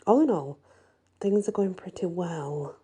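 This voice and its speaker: Soft, dark-voiced woman reading a story. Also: on the low side, expressive, unhurried. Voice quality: soft, husky, breathy. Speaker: audiobook-style story reader. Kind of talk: ASMR first-person fantasy story narration.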